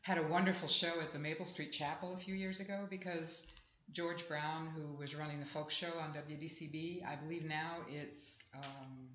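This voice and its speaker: A woman's voice: sits low, steady tone, moderate pace, a little hiss or hum. A woman in her sixties, a singer-songwriter, talking in a chatty, reflective way in an interview at a festival. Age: 60s. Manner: chatty, warm and reflective, rambling. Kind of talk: casual interview at an outdoor festival. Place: festival grounds. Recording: echoey, dull live field recording.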